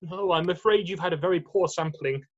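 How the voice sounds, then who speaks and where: An amateur performer voicing a gentle, nervous male faun with a hesitant, apologetic manner in a screenplay readthrough. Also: medium pitch, fairly quick, lo-fi sound, close to an everyday voice. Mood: ashamed, anguished. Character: nervous, guilt-ridden, gentle male faun, kindly host.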